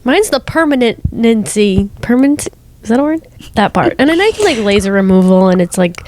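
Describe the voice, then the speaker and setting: A woman's voice: fairly high pitch, a little fast, slight background noise. Congested young woman, rambling chat. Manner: relaxed, rambling, filler-heavy. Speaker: podcast co-host. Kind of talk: casual chat.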